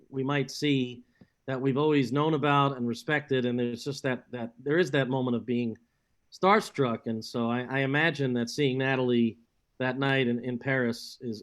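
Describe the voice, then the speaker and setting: A man co-hosting a casual interview. He speaks in a measured, reflective way and builds his thoughts as he goes. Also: mid-range voice. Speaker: co-host.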